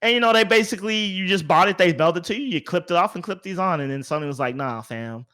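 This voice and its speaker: Male podcast host, high-pitched, casual and opinionated, emphatic with repeated words. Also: fast, pushed and bright.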